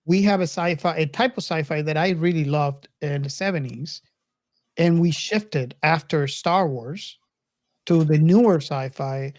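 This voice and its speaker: Female podcast host, middle-aged, low register, halting reflective delivery, casual movie talk, dry sound. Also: a little mellow, no echo. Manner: reflective, halting, circles back over phrases. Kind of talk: casual film discussion.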